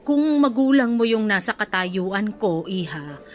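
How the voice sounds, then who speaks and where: A woman playing a kindly, apologetic older student in a radio drama, speaking in a gentle, motherly and patient way. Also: mid-range voice, expressive, a little slow, close to an everyday voice. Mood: hurt but firm. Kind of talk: radio drama acting in Filipino. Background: music bed.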